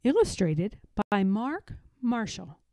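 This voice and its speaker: A woman narrating a children's storybook poem aloud in an expressive, dramatic and measured way. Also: medium pitch, no room sound, studio quality.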